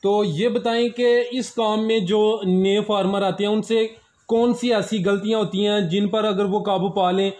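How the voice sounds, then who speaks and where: High-voiced polite male interviewer. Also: steady tone, moderate pace, a little mellow. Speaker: interviewer, channel host. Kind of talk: interview questioning. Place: cattle farm.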